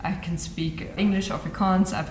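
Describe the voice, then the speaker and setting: A woman's voice: fairly low pitch, steady tone, normal speed, a little hiss or hum. A woman from South Africa with German as her first language, an artist giving reflective interview answers and searching for words. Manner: thoughtful, searching for words with frequent ums. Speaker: artist in residence. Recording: roomy with faint ambience.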